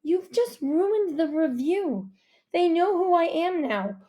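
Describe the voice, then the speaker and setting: A woman's voice: high-pitched, moderate pace, mellow, voice pushed up, lightly acted. A woman narrator voicing a proud, cautioning mother who explains things carefully in an audiobook. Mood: annoyed. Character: proud, cautioning mom, a food critic. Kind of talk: audiobook character voice.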